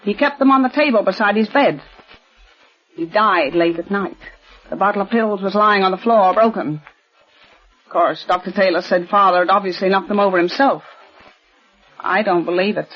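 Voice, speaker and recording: A woman's voice: medium pitch, normal speed, long pauses, faint music behind, natural voice. Woman playing a stiff proper rich lady of forty, insistent, old dull radio sound. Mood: tense. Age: 40s. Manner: formal and insistent. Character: tense, proper wealthy eldest daughter. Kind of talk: 1940s radio acting.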